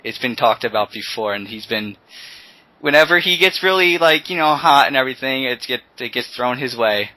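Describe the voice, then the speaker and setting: Teen male podcast host, bright voice, stop-start delivery, casual chat. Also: mid-range voice, lively intonation, no room sound, rough recording. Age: teens. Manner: halting, repeats words as he restarts.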